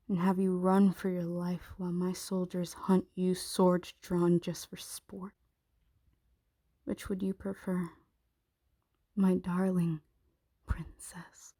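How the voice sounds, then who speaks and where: A woman playing a menacing, possessive dragon shifter queen in an ASMR roleplay, commanding and often slipping into breathy whispers. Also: lowish voice, mellow, dry recording, studio quality. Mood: cold menace, then mocking command.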